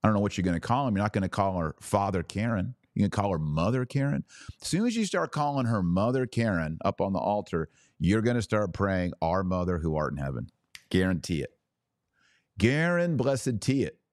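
A man's voice: sits low, a little mellow, dry recording, studio quality. A man hosting a podcast, giving opinionated religious commentary in a preaching style, emphatic, with rhetorical questions and sarcastic asides.

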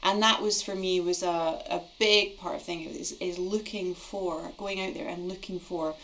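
A woman's voice: fairly low pitch, steady tone, moderate pace, fairly bright tone, a little hiss or hum. A middle-aged woman hosting a podcast conversation, often hesitating and restarting her phrases. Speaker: podcast host. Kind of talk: conversational interview.

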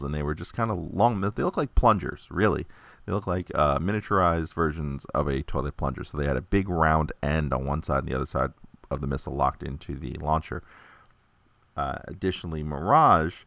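A man's voice: a bass voice, a bit bright, dry recording. A man from the United States chatting casually through an informational monologue, with many filler words and light jokes. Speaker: toy collector presenting a video.

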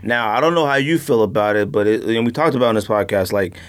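A man's voice: fairly low pitch, quick pace, clean tone. A man hosting a podcast in casual chat, speaking informally with plenty of slang. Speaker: podcast host.

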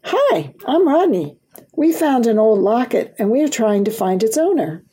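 A woman's voice: a little high, sing-song, moderate pace, warm tone, husky. A woman voicing an eager, stubborn young boy in a children's storybook, forward and blunt.

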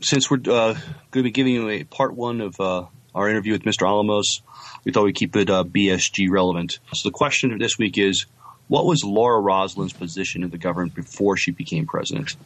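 A man with a moderately low voice co-hosts a casual podcast. His delivery is halting and conversational, with hesitant, repeated words as he chats and reads out comments. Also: no echo. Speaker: podcast co-host. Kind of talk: casual chat, reading out listener comments.

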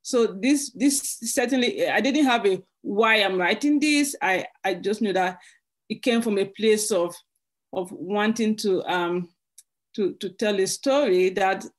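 A woman author from Cameroon answering interview questions thoughtfully, halting and restarting often. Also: medium pitch.

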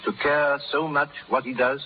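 An actor playing a kindly, worldly elderly lord, musing and gently teasing, in 1930s radio drama style. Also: male voice, on the high side, level tone, normal speed, lightly acted. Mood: wry, concerned. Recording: tinny, noisy 1930s radio recording.

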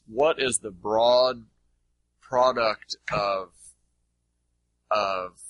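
A man's voice: lowish voice, sharp tone, no room sound, rough recording. A man teaching in a question-and-answer session, speaking in an informal, discursive way with rhetorical questions.

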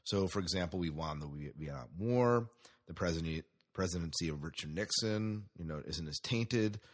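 Low, husky-voiced American male podcast host. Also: moderate pace. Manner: informal, hesitant, self-correcting. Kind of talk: casual sci-fi news and movie segment talk.

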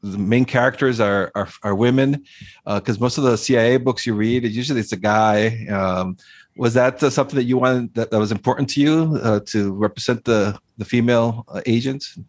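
A man's voice: medium pitch, moderate pace, no room sound. Hesitant male interviewer, dry sound. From Costa Rica. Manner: hesitant, many fillers and repeats, laughs often. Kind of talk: casual interview talk.

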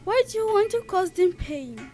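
A teenage girl playing an idealistic young lady in an amateur radio play, with a deliberate, stumbling delivery. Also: very high-pitched, big pitch swings, music in the background. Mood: pleading, questioning. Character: idealistic young lady pleading for robots' welfare.

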